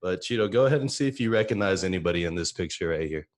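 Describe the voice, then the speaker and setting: A man hosting a casual podcast, relaxed and upbeat, giving shout-outs and asking questions. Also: low-pitched, very fast. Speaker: podcast host.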